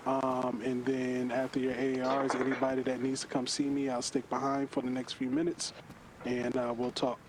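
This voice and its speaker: A man's voice: medium pitch, completely flat delivery, normal speed, noisy background. A man leading an online gaming group, giving an informal briefing in a firm, instructing manner over voice chat.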